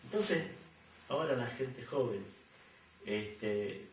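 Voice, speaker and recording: A man's voice: mid-range voice, expressive, slow. A man with an Argentine accent, answering interview questions in a rambling way, with many fillers and repeated words. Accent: Argentine Spanish. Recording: echoey room, distant mic, faint hum.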